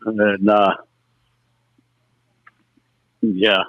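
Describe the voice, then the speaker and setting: Low-voiced middle-aged man on a tinny phone line. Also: flat delivery, very slow. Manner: anecdotal, folksy storytelling. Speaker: former race car driver, interview guest. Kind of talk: casual reminiscing on a phone interview. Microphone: telephone. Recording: muffled, narrow telephone line.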